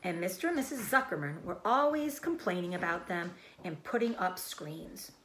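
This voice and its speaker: A woman reading a children's storybook aloud as a teacher, in expressive narration. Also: on the low side, moderate pace, a little hiss or hum.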